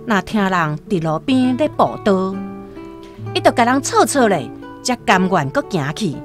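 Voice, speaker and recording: A woman's voice: medium pitch, very animated, a little slow. A woman with a Taiwanese accent narrating a scripted literary radio story expressively. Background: soft plucked-string music.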